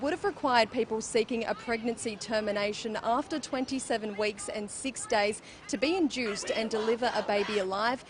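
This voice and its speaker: A woman reports the news in a fairly high voice. She is outdoors, with clear city traffic noise around her.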